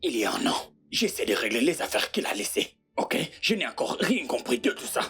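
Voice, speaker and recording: A woman's voice: a bass voice, very animated, brisk, a bit bright, breathy edge, soft background music, full character voice. A woman dubbing a young man, the eldest brother of the family, who is defensive and pleads insistently. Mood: pleading. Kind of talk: French dub of a film.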